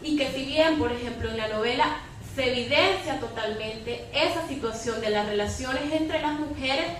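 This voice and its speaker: A woman's voice: high voice, normal speed. A woman arguing analytically and emphatically as a debate panelist, often ending on a questioning tag. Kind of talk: impassioned argument in a public debate. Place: echoey room at a public debate. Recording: echoey hall, distant mic, background noise.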